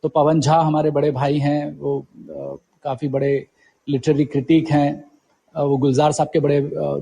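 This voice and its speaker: A man in his forties from Uttarakhand with a husky voice, telling his story conversationally as an interview guest, mixing in English words. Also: fairly high pitch, warm tone, in a large hall, poor audio. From Uttarakhand, India. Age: 40s. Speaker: guest poet.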